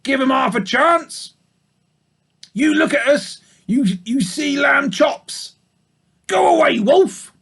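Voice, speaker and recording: A man voicing a grumpy old ewe with a hoarse, complaining voice and rustic grammar. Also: very high-pitched, slow, long pauses, a bit bright, pitched above the performer's own voice, heavily acted. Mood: sour.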